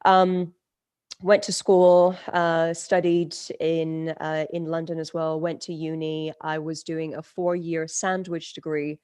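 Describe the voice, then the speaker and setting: A woman's voice: low-pitched, level tone, takes it slowly, a little mellow, smooth, no room sound. A woman from Turkey, a spiritual teacher, telling her life story in a podcast interview, reflective with many fillers and restarts.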